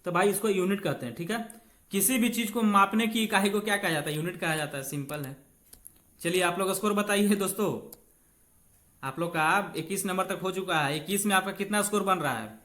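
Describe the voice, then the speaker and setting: A man's voice: high-pitched, brisk, fairly bright tone. A man teaching exam physics step by step, informally addressing students as friends, repeating key phrases and mixing in English technical terms. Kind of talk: exam-prep lesson, reading questions and explaining them step by step.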